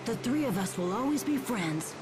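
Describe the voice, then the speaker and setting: A woman's voice: a little high, lively intonation, moderate pace, background noise, close to an everyday voice. A woman playing a reserved, polite young boy in an English-dubbed anime game. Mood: quietly moved.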